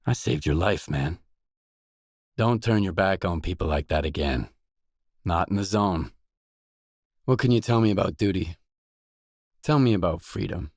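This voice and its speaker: A man's voice: deep voice, animated, a little slow, gravelly, pauses often, a little mellow, no echo, clean studio sound, exaggerated voice. An actor playing a wary, pragmatic male loner stalker in a video game, speaking in a terse, blunt, matter-of-fact way. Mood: blunt, disapproving. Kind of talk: game dialogue voice lines.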